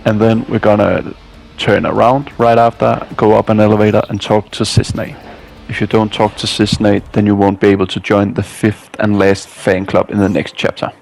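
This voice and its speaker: A man's voice: fairly low pitch, flat delivery, moderate pace, vocal fry, a little mellow, rough recording. A man narrating a scripted video game walkthrough as a guide.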